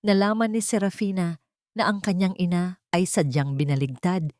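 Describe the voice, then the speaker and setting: A woman's voice: lowish voice, fairly even intonation, moderate pace, darkish tone, no echo, clean studio sound. A woman reading a scripted, dramatic story as its narrator. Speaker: storyteller, narrator of love stories.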